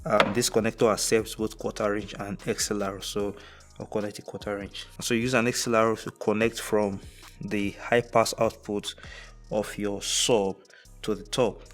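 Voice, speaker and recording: Young guy explaining audio gear step by step, lowish voice, music behind him. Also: little pitch movement, moderate pace, fairly bright tone. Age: young adult. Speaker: tutorial presenter. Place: indoors, dark studio room.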